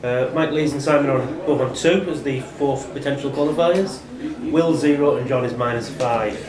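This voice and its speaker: A man's voice: medium pitch, moderate pace. A man acting as quiz scorer, calling out players' names and scores. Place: pub room during a live quiz. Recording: echoey pub, distant mic, chatter behind.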